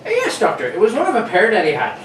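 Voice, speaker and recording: A man's voice: high-pitched, wildly swooping pitch, fast talker, fairly bright tone, heavily acted. A man playing a deferential local police sergeant, talking colloquially in a radio play. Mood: confiding. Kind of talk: amateur radio-play acting. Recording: roomy, distant, noisy live recording.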